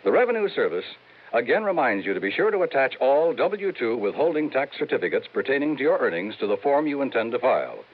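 A man's voice: mid-range voice, very animated, quick pace, slight background noise. A man reading scripted announcements as a 1950s network radio announcer. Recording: tinny 1950s radio recording.

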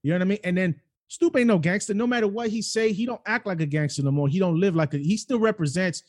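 A man's voice: high voice, fast. A middle-aged man hosting a podcast, talking casually and emphatically, grown up in New York City and Cleveland. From New York City and Cleveland, Ohio. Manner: emphatic, animated storyteller. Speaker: podcast host. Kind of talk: casual interview chat.

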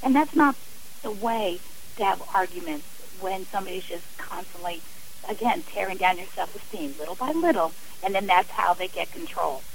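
A woman's voice: lowish voice, expressive, moderate pace, creaky, slight background noise, poor audio. A woman speaking as an interview guest on radio, earnest and emphatic, explaining with examples and repeated phrasing. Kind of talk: radio interview, personal and advisory talk.

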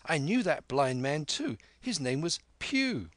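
A man's voice: fairly high pitch, very animated, measured pace, a bit bright, no room sound, voice pushed up. A man voicing an eager, respectful young cabin boy in a storybook reading, with an earnest, boyish delivery.

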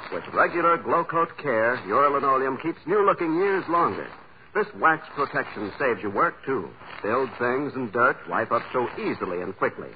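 An American man reading a 1940s radio commercial in a polished, persuasive announcer's delivery. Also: medium pitch. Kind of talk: 1940s radio advertisement read. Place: radio studio. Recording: dull, noisy vintage radio recording.

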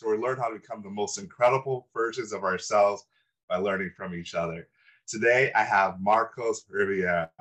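A man's voice: on the low side, a bit bright, rough recording. A man hosting a podcast, giving a scripted, earnest introduction of his guest. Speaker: podcast host. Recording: music playing behind.

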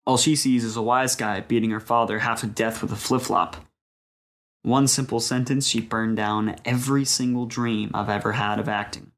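A man's voice: mid-range voice, fairly even intonation, moderate pace, a bit bright, lightly acted. Wry, jaded mobster, acted by a man. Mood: regretful. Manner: wry, world-weary storytelling with wiseguy slang. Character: jaded young Italian-American mobster dreaming of acting. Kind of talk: dramatic scripted first-person monologue.

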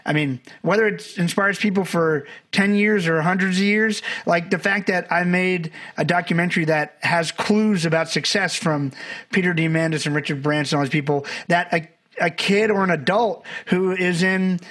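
A man from Barbados talks as a podcast guest, winding through his stories and often restarting his sentences. His voice sits a little high for a man. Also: normal speed, fairly bright tone. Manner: rambling, self-correcting storyteller. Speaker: podcast guest, documentary filmmaker. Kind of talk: conversational podcast interview.

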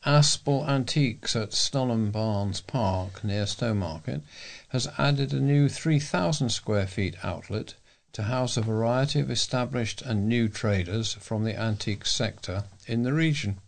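A British man reading local news and letters aloud as a volunteer, in a measured way. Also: mid-range voice, gravelly.

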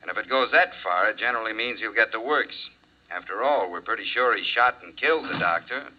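Guy playing american cop sergeant, matter of fact, lowish voice, muffled 50s radio drama. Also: animated, fairly quick, lightly acted. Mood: assured. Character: matter-of-fact, assured male police sergeant. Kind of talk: 1950s radio drama acting. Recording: dull 1950s radio recording.

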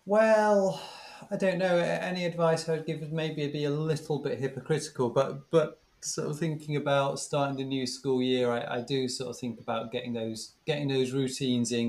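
A man's voice: medium pitch, darkish tone. A man, a podcast co-host and former teacher, chatting casually and reflectively with many restarts. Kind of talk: casual chat.

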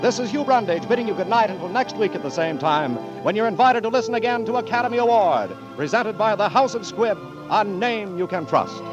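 A man's voice: high-pitched, expressive, brisk, hardly any pauses. A male radio announcer reading sponsor copy and closing credits in a formal, polished manner. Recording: dull old radio broadcast with music.

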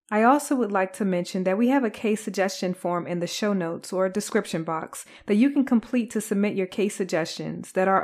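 A woman podcast host delivering scripted true crime narration. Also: medium pitch.

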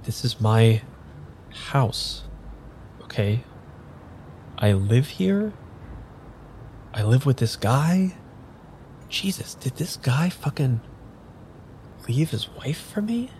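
Frantic amnesiac, stammering, sweary, slow halting delivery, audio drama, rumbly background noise. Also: male voice, big pitch swings, darkish tone. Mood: overwhelmed. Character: frantic amnesiac man reading tarot for himself, foul-mouthed.

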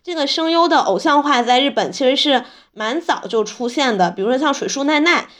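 A woman's voice: high-pitched, lively intonation, a little fast, a bit bright. A woman co-hosting a podcast, talking casually and informatively in conversation. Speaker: podcast co-host. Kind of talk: casual chat about fan culture.

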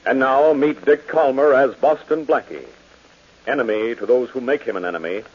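A man's voice: medium pitch, normal speed, slightly rough. Dramatic male vintage radio announcer. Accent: American. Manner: dramatic, declamatory. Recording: hissy, muffled old radio recording.

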